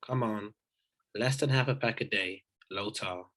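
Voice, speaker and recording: A man's voice: lowish voice, measured pace, fairly bright tone. A man acting an irritable, sarcastic twenty-two-year-old in a screenplay table read. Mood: annoyed.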